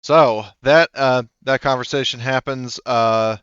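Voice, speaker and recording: A man running a tabletop roleplaying game, narrating in a relaxed, conversational way. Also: medium pitch, unhurried, clean tone, no echo, highs cut off. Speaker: game master.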